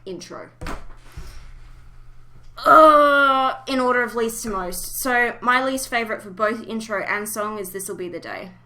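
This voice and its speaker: A woman's voice: mid-range voice, sharp tone. A woman hosting a casual fan podcast, chatting informally and giving blunt opinions. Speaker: podcast host.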